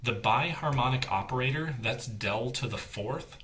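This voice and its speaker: A man's voice: medium pitch, normal speed, a bit bright, slight background noise. A man giving an explanatory technical lecture as an engineering instructor, explaining things plainly and step by step.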